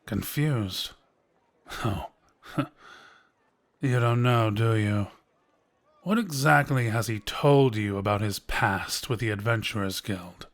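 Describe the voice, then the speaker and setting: Guy doing a sly knowing quest giver for a fantasy audio rp, talks real slow, teasing and persuasive. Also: lowish voice. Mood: knowing, intrigued. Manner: measured, teasing, insinuating. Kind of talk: fantasy audio roleplay addressed to listener.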